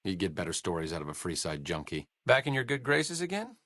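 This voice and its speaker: A man's voice: deep voice, big pitch swings, fast, fairly bright tone, no room sound, full character voice. An actor playing a sardonic, bookish male wasteland doctor with dry, self-deprecating wit in a video game. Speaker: game companion character.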